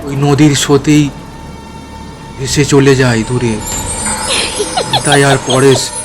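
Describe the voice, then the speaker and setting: A man telling a scripted horror story as narrator, in a measured, dramatic manner. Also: steady tone, music in the background. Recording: clear noisy ambient rumble behind narration.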